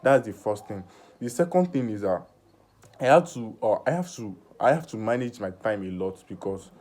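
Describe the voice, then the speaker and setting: Halting nineteen-year-old man, mellow voice. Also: lowish voice, lively intonation. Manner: halting, many fillers and restarts; earnest self-talk. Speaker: vlogger. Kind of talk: personal reflective vlog.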